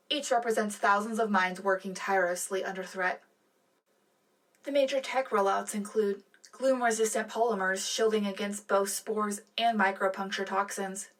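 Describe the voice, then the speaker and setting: A woman's voice: medium pitch, a bit bright, natural voice, poor audio. A woman playing a composed, loyal propaganda radio anchor reading a scripted, wryly upbeat sci-fi war broadcast. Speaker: radio co-host. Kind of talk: scripted in-world news broadcast.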